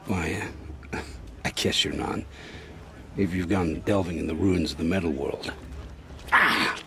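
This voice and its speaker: An actor playing an uneasy, evasive scavenger guide in a video game, speaking in a halting, guarded way. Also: male voice, low voice, gravelly, bright, forceful tone, slight background noise, full character voice. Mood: flustered, evasive. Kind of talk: video game cutscene acting.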